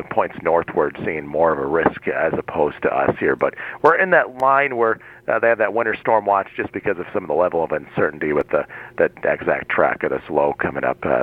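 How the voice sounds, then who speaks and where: A man calling in to a radio show as meteorologist, giving a relaxed, rambling forecast full of fillers. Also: low-pitched, no room sound. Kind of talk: weather forecast call-in. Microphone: phone. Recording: tinny phone line.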